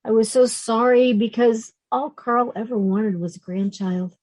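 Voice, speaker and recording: Actress doing emotional fifties woman in a stage play, heartfelt, halting delivery, voice a bit high. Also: a little mellow. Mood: tearful, confiding. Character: Meryl, grieving woman in her late fifties, tender, emotional. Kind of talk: play reading, naturalistic dialogue.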